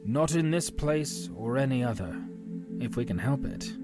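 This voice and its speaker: A man's voice: mid-range voice, expressive, moderate pace, over loud music, voice pushed up, natural voice. Narrator acting out a grave, kindly captive warrior, male. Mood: reassuring. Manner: grave, reassuring. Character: captured warrior, grave, kindly, guilt-ridden man. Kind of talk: dramatic audiobook character voice.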